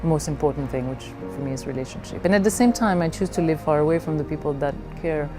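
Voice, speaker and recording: Middle aged woman from belgium talking personally, low voice, halting and reflective, music playing behind her. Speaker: leadership coach.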